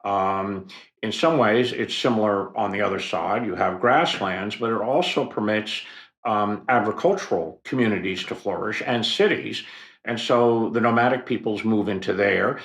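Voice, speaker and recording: An older man explaining history as a podcast guest, in a professorial, unhurried way with fillers and asides. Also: on the low side, vocal fry, fairly bright tone, reverberant. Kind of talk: expert explanation in a podcast interview.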